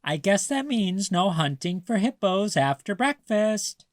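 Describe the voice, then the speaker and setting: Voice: mid-range voice, big pitch swings, a little slow, dry recording, studio quality, voice pushed up. A reader voicing a playful, teasing grandmother in a children's storybook, with a mock-serious, coaxing manner.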